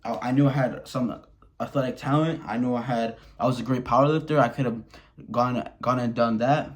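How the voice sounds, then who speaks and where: A man's voice: medium pitch, fairly even intonation, fairly quick. A man in his early twenties from Wisconsin, talking bluntly and candidly to camera, swearing often and restarting his sentences. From Wisconsin, USA. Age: 20s. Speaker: vlogger. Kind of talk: candid personal monologue.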